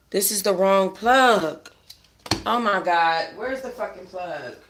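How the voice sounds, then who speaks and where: A woman with a bright, forward voice hosts a book club livestream from home. She reads expressively and speaks in a casual, slangy, conversational way. The phone picks up a noticeably echoey room. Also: medium pitch, takes it slowly, slight background noise. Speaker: book club livestream host reading a novel aloud. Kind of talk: expressive read-aloud with casual asides to viewers. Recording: roomy echo on a phone livestream.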